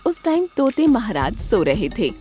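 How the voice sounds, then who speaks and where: A woman storyteller giving an expressive, scripted narration of a children's cartoon tale. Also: fairly high pitch, moderate pace, faint music behind.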